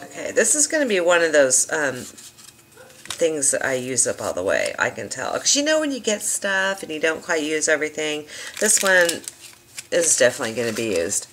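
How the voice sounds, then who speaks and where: Chatty low-voiced woman crafter, a little hoarse. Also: wildly swooping pitch, normal speed, a bit bright, slight background noise. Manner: chatty, rambling, enthusiastic asides. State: slightly hoarse, lost voice. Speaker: craft video host. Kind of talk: casual craft product demonstration.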